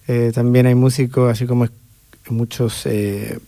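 A man's voice: mid-range voice, level tone, unhurried, vocal fry, dark tone, no room sound. A man with a Chilean accent, an orchestra conductor speaking as a radio interview guest. He talks thoughtfully and hesitates often with fillers.

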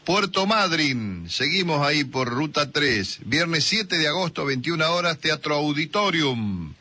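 Husky, bright-voiced Argentine radio host. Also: male voice, fairly high pitch, lively intonation, dry recording. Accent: Rioplatense Spanish. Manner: chatty, joking, quick to agree. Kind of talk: casual radio interview. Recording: radio broadcast with music behind.

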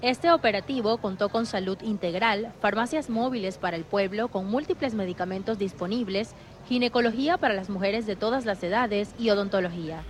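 A woman narrates a television news report in a steady, measured newsreader delivery. A faint background noise is present. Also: mid-range voice. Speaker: field reporter.

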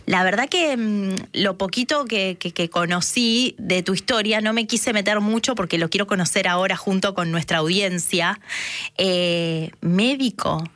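A woman's voice: mid-range voice, a little slow, fairly bright tone. Chatty woman host. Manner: friendly, chatty questions with fillers. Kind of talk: radio-style interview hosting.

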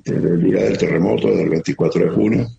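A middle-aged man with a Venezuelan accent giving an earnest, halting account as an interviewee, often repeating words. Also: low-pitched, gravelly, warm tone. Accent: Venezuelan Spanish. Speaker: interviewee, security operator. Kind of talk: emotional testimony on a remote interview. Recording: muffled lo-fi line with faint noise.

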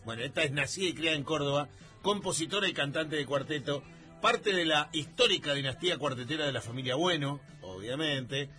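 A man's voice: a little high, fairly quick, sharp tone, soft background music. A man co-hosting a radio show, chatty and informal as he introduces a song. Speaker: radio co-host.

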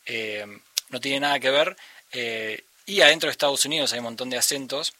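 A man with an Argentine accent being interviewed as a musician, speaking reflectively, often pausing and searching for words. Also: medium pitch, bright, forceful tone, no room sound. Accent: Argentine Spanish. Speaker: musician guest. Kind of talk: interview conversation.

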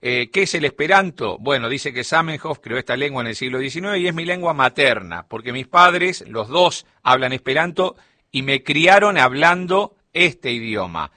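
A man's voice: medium pitch, expressive, normal speed, bright, forceful tone, no room sound. A middle-aged man with a Rioplatense Spanish accent, explaining a topic as a radio columnist in a studio chat. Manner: explanatory, storytelling delivery.

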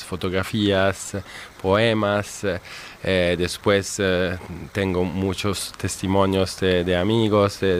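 Halting Italian man, low voice. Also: slight background noise. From Italy. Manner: halting, many pauses and fillers. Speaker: guest historian. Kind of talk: radio interview.

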